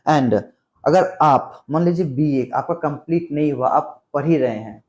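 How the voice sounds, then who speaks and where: A man's voice: a little high, measured pace, darkish tone. A man giving a spoken English lesson, explaining step by step and repeating example phrases. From Bihar, India. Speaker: English teacher.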